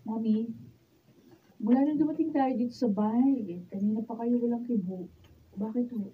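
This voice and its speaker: Casting: actress as caring college-age daughter, gentle and earnest, fairly high voice, muffled radio drama pickup. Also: female voice, moderate pace, natural voice. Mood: concerned. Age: young adult. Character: idealistic, caring college-student daughter, a volunteer teacher. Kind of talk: Filipino radio drama acting. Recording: muffled, noisy, distant room recording.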